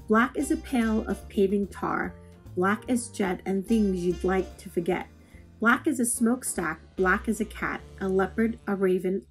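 Female reader for children's poetry: medium pitch, expressive and measured, plucked guitar music underneath. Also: rough recording. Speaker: read-aloud host.

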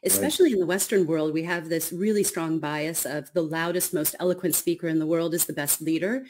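Woman, forties, author guest, lively, laughing, faint call noise. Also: low-pitched, brisk. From United States. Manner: animated, laughs often, acts out quotes. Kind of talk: radio interview over video call. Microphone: video call.

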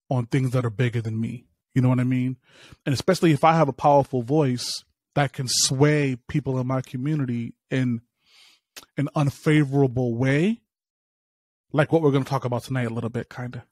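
A man co-hosting a podcast, telling stories in relaxed slangy casual chat over a headset on a video call. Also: mid-range voice, dry recording. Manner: laid-back slangy storytelling. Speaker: podcast co-host.

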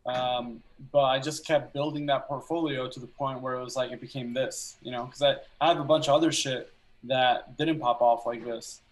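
A young man who grew up speaking Albanian, a filmmaker talking about his work as a guest on a video call, telling stories in a relaxed way with pauses to think. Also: mid-range voice. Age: young adult. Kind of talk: casual interview chat. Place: small room at home.

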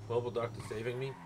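A man chatting casually and profanely as he streams an in-game roleplay. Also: mid-range voice. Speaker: roleplay streamer playing a medic. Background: game sirens and vehicle noise.

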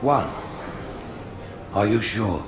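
An actor playing a stately alien high prophet, grand and oratorical, calmly menacing in a video game. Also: male voice, drawn-out words. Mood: measured, probing. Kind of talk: dramatic video game acting.

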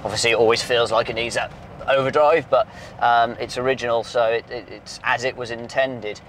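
A man's voice: mid-range voice, a little fast, a little hiss or hum. A man, talking casually through a car's engine work as a workshop mechanic, with lots of "um"s and restarts. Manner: relaxed, many "um"s and restarts, friendly banter. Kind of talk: casual technical walkthrough. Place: outdoor workshop yard, then inside a moving car. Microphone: lapel mic.

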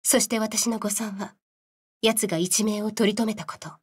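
A woman voicing a solemn female scientist in a game cutscene, telling a grim story in a measured, serious way. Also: medium pitch, fairly even intonation, breathy edge, lightly acted. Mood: grave, bitter. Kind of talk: dramatic game cutscene narration.

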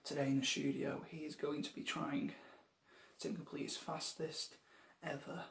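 A young man hosting a spoof news show, soft-spoken in a mock newsreader style. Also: a little high, flat delivery, moderate pace, gravelly, echoey room, far from the mic. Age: young adult. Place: small room indoors.

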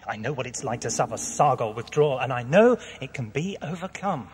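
An actor playing a brooding, irritable time-travelling alien in an audio drama, intense and curt. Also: male voice, medium pitch, animated, fairly quick, close to an everyday voice. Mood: urgent pleading.

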